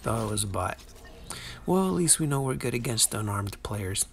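Chatty man with a creaky voice. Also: mid-range voice, lively intonation, moderate pace, a little hiss or hum. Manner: chatty, self-deprecating, playful. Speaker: gaming guide host. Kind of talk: casual game walkthrough commentary.

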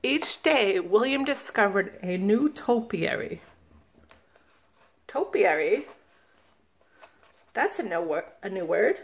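A woman, a children's librarian, reading a picture book aloud slowly and gently, stopping to ask the listeners questions. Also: mid-range voice, lively intonation. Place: indoors at a table, camera above the book.

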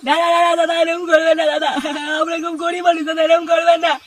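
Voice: extremely high pitch, completely flat delivery, fast talker. A performer voicing a poor, put-upon older tea seller, whining and repeating himself in a comedy cartoon. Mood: pleading. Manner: whining, repetitive, pleading. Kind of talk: Bengali comedy cartoon voice acting. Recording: noisy, distant pickup with clicks.

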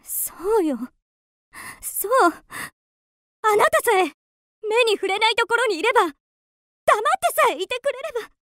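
A woman's voice: very high voice, animated, moderate pace, long pauses, fairly bright tone, no echo, studio quality. An actress playing a lonely, dreamy woman lost in romantic fantasy, with polite, theatrical delivery in a radio drama. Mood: distressed denial.

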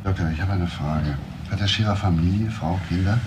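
A man playing a courteous, probing homicide detective in a crime drama, speaking in a measured, polite way. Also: deep voice, noisy background, close to an everyday voice. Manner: measured, polite, hesitant at times. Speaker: actor. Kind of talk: German TV crime drama dialogue.

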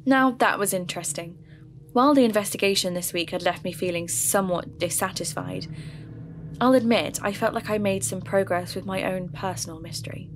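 A woman narrating her own investigation in first person for an audio drama podcast, in a measured, reflective storytelling manner. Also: medium pitch, noisy background. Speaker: narrator and host. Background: background music.

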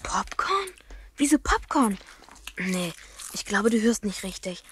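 A woman's voice: medium pitch, big pitch swings, slow. A woman playing an inquisitive, cheeky young boy in a children's radio play, with faint background hiss. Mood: sleepy, sceptical.

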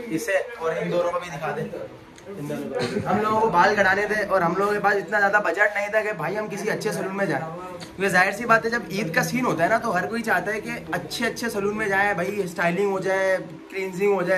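High-pitched young Pakistani man vlogging. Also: steady tone, fast talker. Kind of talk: casual vlog talk to camera. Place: busy barber salon, indoors. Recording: busy salon chatter behind voice.

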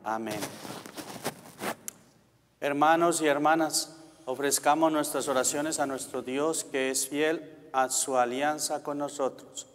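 Priest reciting evenly in a reverberant church. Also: male voice, fairly high pitch, fairly even intonation. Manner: solemn, measured, formulaic delivery. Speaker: priest leading Mass. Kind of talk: liturgical recitation and prayer. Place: large church sanctuary, indoors. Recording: reverberant church, background noise.

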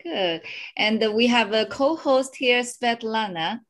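Woman hosting in slow, simple English. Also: a little high. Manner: simple, slow non-native English with fillers. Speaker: show host. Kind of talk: hosting an online interview. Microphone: video call.

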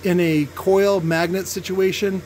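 Male workshop host, slightly high voice, halting and opinionated, off-the-cuff tech talk over faint background noise. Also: little pitch movement, a little mellow. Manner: halting, thinking aloud, opinionated. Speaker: host demonstrating speaker tests. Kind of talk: casual unscripted technical commentary. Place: indoor workshop at a cluttered bench. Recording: noisy workshop with background hum.

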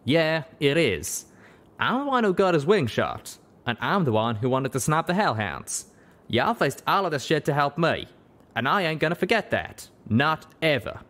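A man's voice: on the high side, big pitch swings, moderate pace, a bit bright, voice pushed up. A man voicing a folksy male pegasus cowboy with a Southern US drawl in an audiobook. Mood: guilty, bitter. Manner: plain-spoken drawl.

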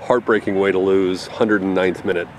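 Male sports interviewer, low voice, measured questions, faint field noise. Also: steady tone.

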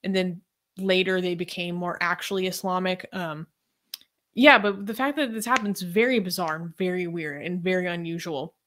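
A woman's voice: on the low side, fairly even intonation, moderate pace, a bit bright. A young woman talking through a news story casually, hesitant with many ums and likes, on a video call from home. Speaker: co-host.

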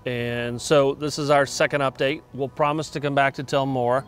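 Older man hosting, easygoing interviewer, mid-pitched voice, outdoors on a lapel mic. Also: little pitch movement, normal speed, faint music behind. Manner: agreeable, prompting questions. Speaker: realtor and show host. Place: outdoors at a waterfront construction site.

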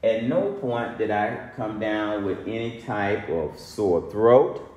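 A middle-aged man, a doctor, lecturing to a phone camera in an urgent care clinic, speaking slowly and repeating key phrases for emphasis. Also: lowish voice, darkish tone, muffled recording, a little hiss or hum. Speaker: doctor giving a health lecture. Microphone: phone camera at a short distance. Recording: echoey clinic room.

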